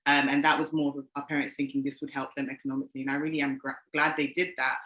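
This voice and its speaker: A British woman talking things through in a relaxed podcast chat, reflective, pausing on ums as she goes. Her voice is bright and clear. It rings a bit in an echoey room. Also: extremely low pitch. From Britain. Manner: reflective, hesitant, frequent ums. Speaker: podcast co-host. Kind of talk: casual chat.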